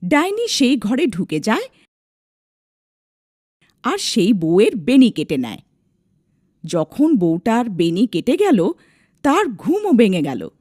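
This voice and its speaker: A woman's voice: a little high, wildly swooping pitch, takes it slowly, long pauses, a little mellow, dry recording, clean studio sound. A woman narrating a scripted dramatic story as a storyteller.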